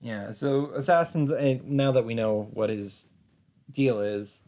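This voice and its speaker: A man's voice: medium pitch, takes it slowly, mellow. A man doing a dramatic read-aloud as host of a visual novel playthrough. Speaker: let's play host reading aloud. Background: faint game music.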